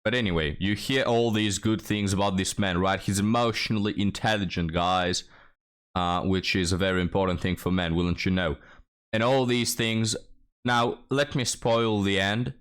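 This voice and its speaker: Brief: European man, reaction host, lower pitch, sarcastic commentary addressed to "guys". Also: moderate pace, fairly bright tone, clear, resonant tone. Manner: sarcastic, mocking asides to viewers.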